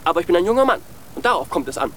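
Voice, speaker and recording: An actor playing an eccentric, half-deaf young prince who speaks in playful rhymes in a children's radio play. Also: male voice, high-pitched, sing-song, rapid-fire, a bit bright, a little hiss or hum. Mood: amused. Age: young adult.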